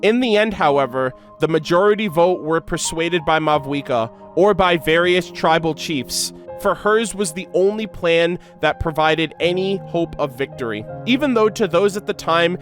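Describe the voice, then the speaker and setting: A man's voice: fairly high pitch, expressive, normal speed, few pauses, faint music behind. A man streaming his reaction to game lore, reading story text aloud and commenting casually in an emotive, slangy way.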